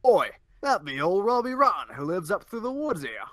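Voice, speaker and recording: A man voicing a crude, gin-swigging, sardonic woman with gruff, rustic speech in a comic story. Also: sits high, big pitch swings, moderate pace, fairly bright tone, no room sound, studio quality, pitched above the performer's own voice.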